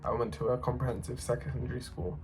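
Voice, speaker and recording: A young man, a student giving a plain, earnest personal account with short pauses. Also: medium pitch, fairly even intonation, vocal fry. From North London. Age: young adult. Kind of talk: personal testimony to camera.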